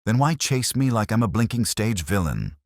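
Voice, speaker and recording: A man's voice: on the low side, sing-song, moderate pace, no room sound, clean studio sound, pitched above the performer's own voice. A man voicing a panicky, defensive publican who pleads and protests in a spoken story.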